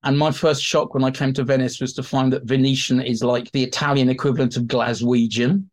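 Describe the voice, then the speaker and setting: A man's voice: medium pitch, flat delivery, brisk. A man speaking as an expert guest in an interview, explaining history in a chatty, informal way and slipping into acted-out asides.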